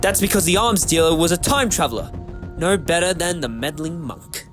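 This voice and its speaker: An actor playing an eccentric, clever time-travelling adventurer in a fan audio drama, theatrical, with musing hesitations. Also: male voice, a little high, moderate pace, faint music behind, natural voice. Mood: explanatory.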